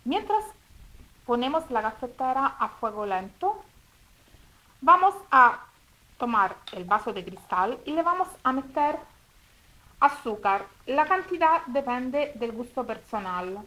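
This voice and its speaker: An Italian woman with an Italian accent speaking Spanish as a second language, demonstrating a recipe step by step at home in a careful, slightly halting way. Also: sits high, sing-song, pushed and bright, rough recording. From Italy. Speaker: cooking video host. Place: home kitchen table.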